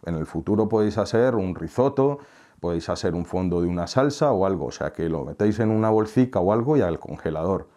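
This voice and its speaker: A middle-aged man from Colombia, hosting a home cooking tutorial and calmly explaining each step with small friendly asides. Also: deep voice, brisk. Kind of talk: relaxed recipe explanation. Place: home kitchen, indoors.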